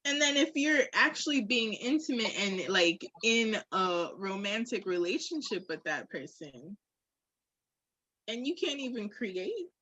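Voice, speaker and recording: A woman's voice: a little high, a bit bright, husky, dry recording, dull audio. A woman in a candid, casual podcast conversation, speaking reflectively and emphatically with slang. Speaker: podcast co-host.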